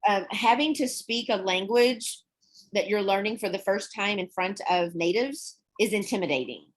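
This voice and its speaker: A young adult woman teaching an online English class, explaining words in a chatty, relaxed way and laughing often. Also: mid-range voice, normal speed.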